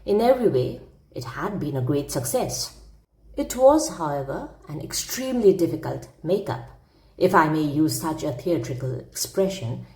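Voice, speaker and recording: A woman's voice: sits low, warm tone, roomy sound, low-quality recording. A woman narrating a classic humorous story aloud as a storyteller, with theatrical, emphatic phrasing.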